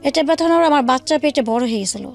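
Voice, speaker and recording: A woman's voice: high voice, racing, soft background music. A woman with a Bangladeshi accent telling her own life story as an interview guest, in colloquial speech with quoted dialogue.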